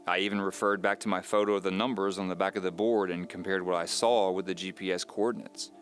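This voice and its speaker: A man playing an uneasy man recounting his own mystery in measured, confiding, scripted audio drama narration. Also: deep voice, fairly even intonation, faint music behind, close to an everyday voice. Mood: puzzled, dejected.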